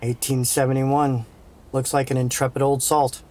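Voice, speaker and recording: A man's voice: medium pitch, little pitch movement, normal speed, a little mellow, close to an everyday voice. A man playing a confused, hesitant explorer growing alarmed in an audio drama. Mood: intrigued. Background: eerie island ambience.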